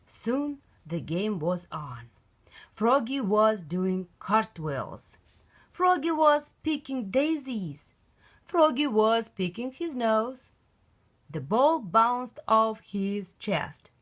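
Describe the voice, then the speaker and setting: A woman reading a children's picture book aloud in an expressive, emphatic storytelling style. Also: a little high, very slow.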